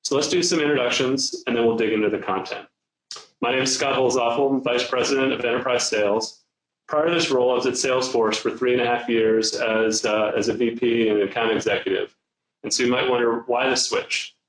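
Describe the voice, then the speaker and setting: A man's voice: mid-range voice, fairly even intonation, a little fast, roomy sound, poor audio. A man who is a sales executive, opening a business presentation in an upbeat, enthusiastic way.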